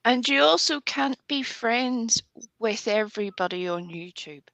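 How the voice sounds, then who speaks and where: A woman's voice: on the high side, animated, unhurried, gravelly, a bit bright, no room sound. A woman giving forthright opinions in casual panel chat, with some swearing. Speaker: panelist on a livestream open panel.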